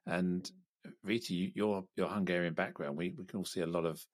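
A man's voice: deep voice, steady tone, fairly quick, dry recording, studio quality. A man hosting a conversation in a friendly way, often stumbling and restarting his sentences. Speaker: host.

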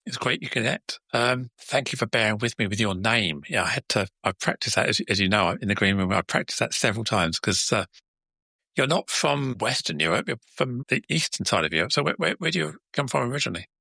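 A man's voice: medium pitch, expressive, brisk, creaky, pushed and bright, breathy edge, no echo. A man hosting a conversational podcast interview, chatty and repeating words as he forms his questions. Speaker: podcast host.

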